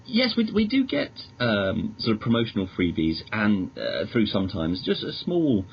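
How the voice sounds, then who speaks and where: Deep-voiced British man on muffled, noisy audio. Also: wildly swooping pitch, moderate pace. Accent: British English. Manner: hesitant, rambling, enthusiastic. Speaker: magazine editor, podcast guest. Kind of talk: casual interview chat. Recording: dull lo-fi audio with clear noise.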